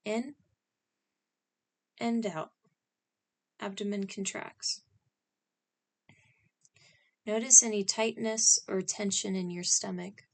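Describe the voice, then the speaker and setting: A woman leading a scripted guided meditation in a calm, instructional manner, speaking in short, spaced phrases. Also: medium pitch, slow pace, vocal fry, long pauses.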